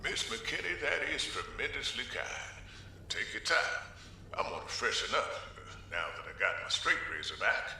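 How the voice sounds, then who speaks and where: Folksy older man, bright, echoing. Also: medium pitch, normal speed, gravelly, full character voice. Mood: grateful. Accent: American. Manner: folksy, courtly, animated. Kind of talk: video game cutscene acting. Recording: very echoey, distant, faint noise.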